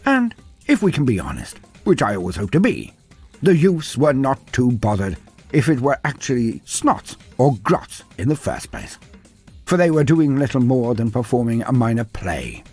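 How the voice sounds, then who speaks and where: A man's voice: medium pitch, big pitch swings, normal speed, a little mellow, slightly rough, soft background music. A man giving scripted dramatic narration of fantasy war lore in a theatrical, wry storybook manner.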